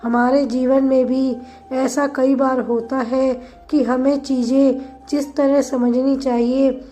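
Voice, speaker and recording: A woman as a slow, chiding holy man. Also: high-pitched, flat delivery, dark tone, faint music behind. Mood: chiding, wise. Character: wise male holy man, chiding and instructive.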